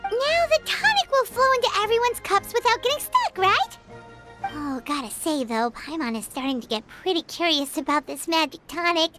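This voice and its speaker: A woman voicing a tiny, eager, cheeky fairy companion in an animated game style, with a squeaky childlike voice. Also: moderate pace, faint music behind, heavily acted. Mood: chirpy, curious. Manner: refers to self in third person, exclamatory. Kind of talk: animated video game voice acting.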